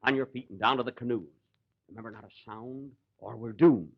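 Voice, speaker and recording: A man's voice: mid-range voice, fairly quick, vocal fry, muffled recording. A man playing a fussy, exasperated Ranger major in a 1940s radio comedy, mock-commanding and put-upon. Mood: hushed bossy. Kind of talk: 1940s radio comedy acting before live audience. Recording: 1940 live radio broadcast.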